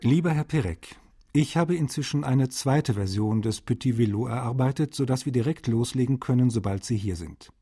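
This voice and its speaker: A man's voice: mid-range voice, moderate pace, mellow, husky. An actor reading aloud as a courteous, businesslike male translator in a dramatised letter. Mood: polite, eager.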